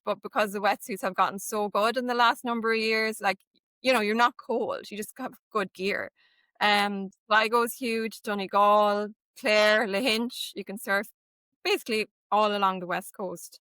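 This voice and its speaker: A woman's voice: mid-range voice, fairly even intonation, moderate pace, pushed and bright, dry recording. A woman with an Irish accent, guest on an interview, explaining things in a chatty way with many "like" and "um" fillers. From Ireland. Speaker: guest, walking tour operator. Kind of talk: casual interview chat.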